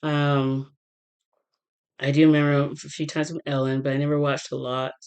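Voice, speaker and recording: A woman tarot reader talking casually to her viewers, halting with many fillers and restarts while getting over a cold. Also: deep voice, little pitch movement, darkish tone, clean tone. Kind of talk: casual rambling vlog talk.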